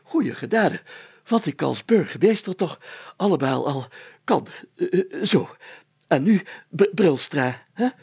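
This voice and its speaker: A man's voice: a little high, lively intonation, measured pace, creaky, a little mellow, husky, exaggerated voice. A man voicing a pompous, self-satisfied, flustered mayor in a story, stammering and speaking in grand, bossy phrases. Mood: flustered, excited. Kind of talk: dramatised story reading.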